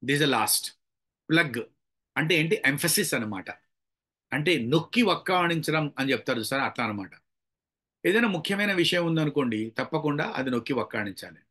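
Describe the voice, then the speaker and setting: A man's voice: fairly high pitch, expressive, a little fast, pushed and bright. A man with a Telugu Indian accent giving a motivational public speaking lesson, demonstrating with varied emphasis and mixing in English phrases. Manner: mixes English phrases into explanations.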